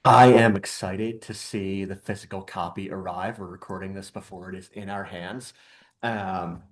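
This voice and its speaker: A man with a fairly low voice answers podcast questions as a guest author. He speaks softly and thoughtfully, often trailing off into quiet phrases. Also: steady tone, normal speed. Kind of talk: casual interview answers.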